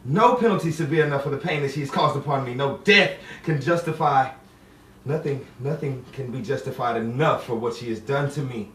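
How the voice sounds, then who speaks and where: An actor playing a grief-stricken, brooding man tormented by lost love, with halting, theatrical delivery. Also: medium pitch, fairly bright tone, close to an everyday voice. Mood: agitated disbelief. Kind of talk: 1950s-style romantic drama monologue and dialogue. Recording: roomy, distant, faintly noisy stage sound.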